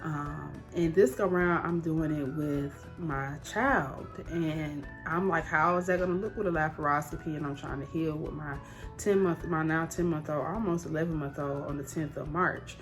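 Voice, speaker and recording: Female vlogger, thirties, low register, candid and conversational with emphatic repeats, background music. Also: fairly even intonation, moderate pace. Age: 30s. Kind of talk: casual personal vlog to camera.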